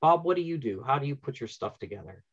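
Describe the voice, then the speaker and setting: A man's voice: medium pitch, animated, fast talker, breathy edge. A middle-aged man chatting casually as he moderates a panel on a video call. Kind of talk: casual chat. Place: room at home, indoors, on a video call. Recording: dull lo-fi video call audio.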